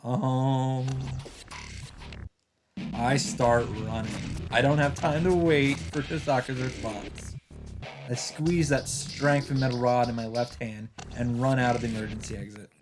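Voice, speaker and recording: A man's voice: medium pitch, steady tone, measured pace. A man hosting a let's play, reading visual novel text aloud in an informal, dramatic way with casual asides. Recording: game music behind voice. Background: visual novel soundtrack.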